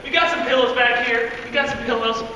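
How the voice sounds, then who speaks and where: High bright-voiced teen boy in an echoey hall. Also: fast. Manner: loud, energetic, laughs often. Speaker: youth speaker. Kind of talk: lively devotional talk to a group. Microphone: no microphone. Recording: echoey hall, far from mic, faint noise.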